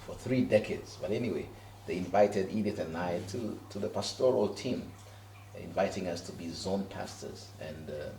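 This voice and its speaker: A man's voice: low voice, a little mellow, echoey room, distant mic, slight background noise, rough recording. A Kenyan pastor in his fifties, easygoing and chatty, talking about his life in a house interview. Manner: easygoing, laughs often, many fillers. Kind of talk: relaxed interview answers. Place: living room in a house.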